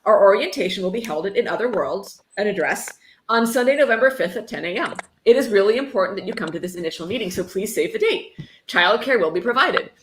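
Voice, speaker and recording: A woman reads aloud in a casual, mock-dramatic way and breaks into dry sarcastic asides. Her pitch sits in the middle for a woman. The sound is echoey, with faint noise underneath. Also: animated, fast. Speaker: host reading a book aloud on a fundraising stream. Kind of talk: casual reading aloud with joking commentary. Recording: roomy echo, faint noise.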